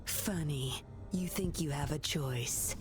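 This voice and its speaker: A woman's voice: low-pitched, slow, over music. An actress playing a cool, sly, commanding female operative in a video game, with a controlled, taunting, menacing manner. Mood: controlled menace.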